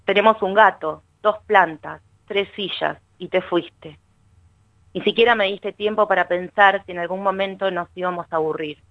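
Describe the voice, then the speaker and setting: A woman's voice: mid-range voice, normal speed, no echo, muffled recording. A woman with a Rioplatense Argentine accent, a guest poet who reads and chats casually. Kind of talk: poetry reading and casual chat.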